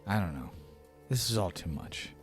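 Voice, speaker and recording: A man's voice: low voice, expressive, moderate pace, soft background music. A man playing a weary, plain-spoken village friend in a tabletop fantasy game. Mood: uneasy, weary.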